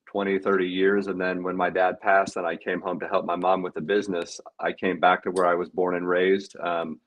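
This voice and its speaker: Man, early forties, low voice, video call panelist, reflective, filler-heavy. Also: level tone, fairly quick, dull audio. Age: 40s. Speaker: panelist, life coach. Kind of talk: casual panel chat.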